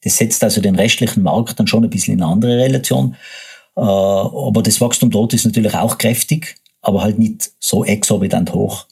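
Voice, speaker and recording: Male podcast host, Tyrolean accent, gravelly and raspy, light music under him. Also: on the low side, darkish tone. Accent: Tyrolean German. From Tyrol, Austria. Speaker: podcast host, agency co-founder. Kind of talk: explanatory solo podcast.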